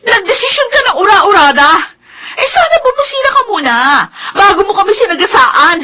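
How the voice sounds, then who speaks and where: An actress with a Filipino accent playing a wounded, defiant young daughter in a radio soap, bitingly sarcastic. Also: female voice, very high voice, very animated, fairly quick, sharp tone, full character voice. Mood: bitter sarcasm. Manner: biting sarcasm, switches between Tagalog and English. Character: furious, wounded young daughter defying her mother.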